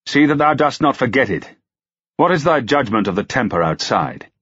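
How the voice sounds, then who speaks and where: A man's voice: mid-range voice, very animated, moderate pace, fairly bright tone, breathy edge, no echo, dull audio, pitched above the performer's own voice. A man voicing an imperious, suspicious medieval duke with archaic, commanding, sardonic speech in an audiobook. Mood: haughty menace. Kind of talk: dramatised audiobook dialogue.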